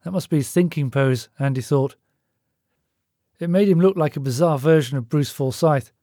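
A man narrates scripted fiction in a mellow, soft-edged tone. His voice sits a little higher than most men's, and the recording is very dry and close. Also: normal speed, no room sound. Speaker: audiobook narrator.

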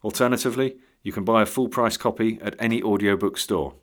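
Low-voiced male podcast announcer. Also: fast. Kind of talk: scripted promotional announcement.

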